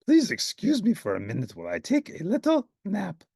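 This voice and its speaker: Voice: medium pitch, huge pitch swings, fairly quick, creaky, darkish tone, breathy edge, no room sound, clean studio sound, voice pushed up, exaggerated voice. A performer voicing an earnest, dramatic furry monster messenger in a children's storybook, with a theatrical, plaintive and eager way of talking. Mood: weary, pleading.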